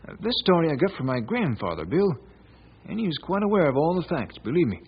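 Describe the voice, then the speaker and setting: An actor playing a polite, earnest bank president with a husky, raspy voice and formal manner in an old radio western. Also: male voice, on the high side, big pitch swings, fast, exaggerated voice. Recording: dull old radio recording.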